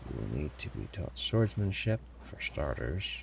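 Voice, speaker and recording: A man playing a sly, commanding pirate captain in an audio roleplay, smugly amused and theatrical, with deliberate, formal phrasing, teasing pauses and a gravelly voice. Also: deep voice, very animated, noisy background, exaggerated voice. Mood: welcoming, stern. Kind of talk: scripted immersive audio roleplay addressed to listener.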